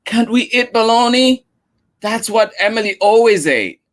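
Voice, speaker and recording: A man voicing a shy little girl in a read-aloud storybook, speaking in a small, pleading, high voice. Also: expressive, unhurried. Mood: wistful.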